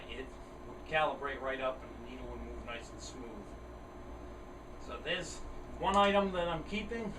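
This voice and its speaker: A man chatting plainly and casually, as in a rambling hobby show-and-tell video. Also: fairly high pitch. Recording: roomy, distant mic, clear air-conditioner noise. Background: air conditioner running.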